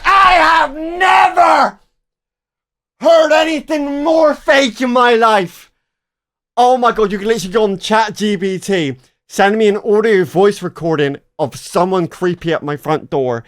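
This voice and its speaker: A man's voice: high voice, a little slow, fairly bright tone, some chatter behind. A man doing casual live reaction commentary, exclamatory, mocking and jokey, often repeating his words for effect. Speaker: streamer reacting to videos.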